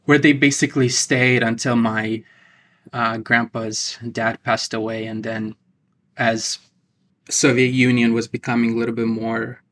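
A man, recalling his family history in an interview, halting with many restarts and fillers. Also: medium pitch, flat delivery, a bit bright. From Ukraine. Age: 30s. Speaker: interview guest, divinity student. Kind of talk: personal recollection in an interview.

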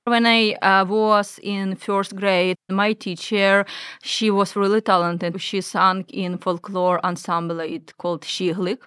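A woman from Ukraine with a Ukrainian accent, telling her life story in halting English full of fillers. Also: mid-range voice, flat delivery, a bit bright, clear, resonant tone, dry recording, clean studio sound. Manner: halting non-native English, frequent "like" and "uh" fillers. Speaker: interviewee, folk singer. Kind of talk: personal storytelling.

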